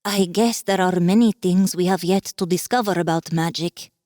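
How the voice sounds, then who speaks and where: A woman's voice: fairly low pitch, normal speed, no echo, close to an everyday voice. An earnest, admiring warrior woman, voiced by a female narrator in a fantasy audiobook. She speaks with sincerity in a dry, close recording. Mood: thoughtful. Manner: sincere, warm-hearted. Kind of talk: dramatized fantasy audiobook acting.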